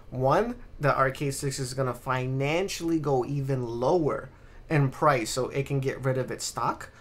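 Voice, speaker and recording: A man co-hosting a casual gaming podcast, explaining things in an informal, sweary way. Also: medium pitch. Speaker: podcast co-host.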